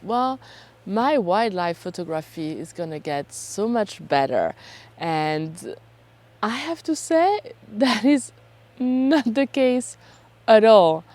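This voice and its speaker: A woman, hoarse from a cold, talking casually and chattily to camera as a self-deprecating vlog host. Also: on the low side, a little mellow. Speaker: photography vlogger and host.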